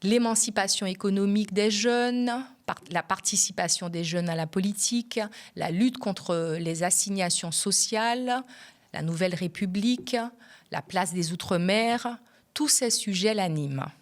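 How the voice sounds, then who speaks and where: A woman speaks as the host of an interview programme. Her voice sits at an average pitch for a woman, and she presents and questions her guest. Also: unhurried. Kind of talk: scripted introduction and interview questions.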